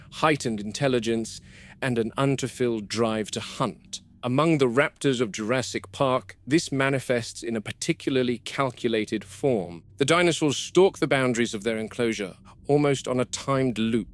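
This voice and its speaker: An actor playing a gruff, wary game warden, speaking in a measured, sardonic way as if recording a log for a found-footage horror film. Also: male voice, medium pitch, natural voice. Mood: measured, clinical.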